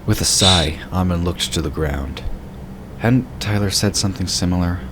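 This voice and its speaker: A man giving scripted narration for a fantasy audio drama. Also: normal speed. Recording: clearly noisy, sound effects behind. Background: rumbling ambience and sound effects.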